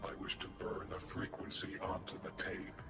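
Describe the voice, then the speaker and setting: A man's voice: low-pitched, completely flat delivery, normal speed, whispered, close to an everyday voice. A man voicing a solemn, dutiful guardian mech in a video game, with formal robotic phrasing and clipped announcements. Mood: formal, resolute. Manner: formal robotic phrasing, announces clipped one-word headers before statements. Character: solemn giant guardian mech, dutiful and slowly learning feeling. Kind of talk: video game character acting. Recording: cavernous echo with music underneath. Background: game music.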